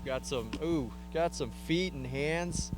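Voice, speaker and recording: A man giving a relaxed interview outdoors on a trail. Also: a little high, takes it slowly, over music. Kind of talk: casual interview.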